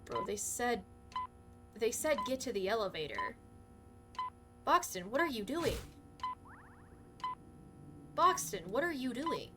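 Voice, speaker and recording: A slightly hoarse woman streams a game and chats with her viewers, her voice sitting on the high side. She talks slowly and loosely, punctuated by excited swearing. Faint game music plays behind her. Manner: swears a lot, excitable outbursts, talks to chat. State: a bit hoarse. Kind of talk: live gaming stream commentary.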